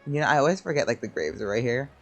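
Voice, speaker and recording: A woman's voice: a bass voice, expressive, racing. A young woman casually commenting while she plays a game, chatty and laid-back. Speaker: gameplay streamer. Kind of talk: casual commentary over gameplay.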